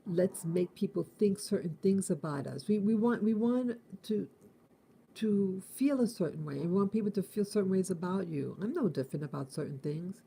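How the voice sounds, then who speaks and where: A woman in her late fifties from the United States with a deeply mellow, dark tone. She talks through a morning reflection as the host. She thinks aloud, restarting phrases and circling back on her words. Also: expressive, gravelly, slightly rough. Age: 50s. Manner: halting, repeats and restarts, musing. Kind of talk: casual spoken morning reflection.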